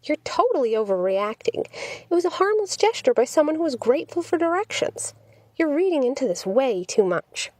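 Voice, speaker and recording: A woman voicing a sweet, chatty young woman who is easily exasperated, in an animated audiobook reading. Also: high-pitched, fairly quick, no room sound, pitched above the performer's own voice. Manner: animated, indignant when challenged.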